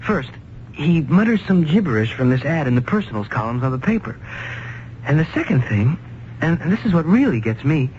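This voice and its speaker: A man's voice: on the high side, big pitch swings, brisk, a little mellow. An actor playing an earnest, persistent young man in a 1970s radio drama. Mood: worried. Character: earnest young nephew learning the business. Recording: dull, faintly hissy old radio recording.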